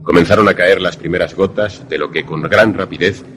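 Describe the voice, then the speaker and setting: An actor in a suspense radio drama playing a courteous former pupil, speaking in a formal, literary manner. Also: male voice, sits low, fairly even intonation, a little fast, fairly bright tone. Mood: measured, suspenseful. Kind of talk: radio drama narration and dialogue.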